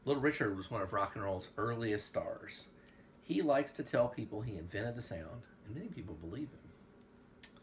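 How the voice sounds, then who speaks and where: A man's voice: on the low side, expressive, normal speed, a little hiss or hum. A man, a father, reading a book aloud to a child in a casual, playful way with asides. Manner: playful, breaks into song and asides. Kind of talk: casual read-along of a picture book with sung snippets.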